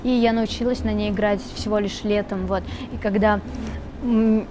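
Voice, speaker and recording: A young woman singer answering interview questions in a relaxed, chatty way, with many fillers. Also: mid-range voice, moderate pace. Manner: relaxed, chatty, switches between Russian and Tatar, many fillers. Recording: noisy background with traffic.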